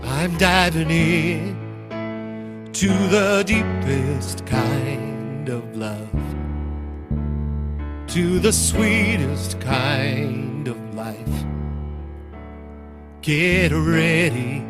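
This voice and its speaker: A woman's voice: low-pitched, animated, extremely slow, long pauses. A woman singing inspirational gospel-country songs at a spiritual service. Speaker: singer at a church service. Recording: loud musical accompaniment. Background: loud music.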